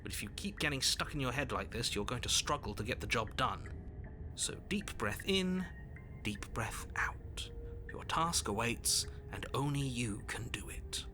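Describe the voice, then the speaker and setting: A man plays a stern narrator of a horror visual novel. He speaks in a measured, condescending way, insistent and evasive, at a middling pitch, with clear music behind him. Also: expressive, in a whisper, lightly acted. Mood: exasperated. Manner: measured, condescending, exasperated delivery. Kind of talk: scripted game narration.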